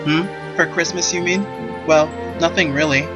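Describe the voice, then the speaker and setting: A man's voice: fairly high pitch, fairly even intonation, moderate pace, loud music behind the voice, pitched above the performer's own voice, close to an everyday voice. A man voicing a flustered, haughty sixteen-year-old tsundere girl in a visual novel, stammering and snapping indignantly. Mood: puzzled, coy. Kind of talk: visual novel character acting.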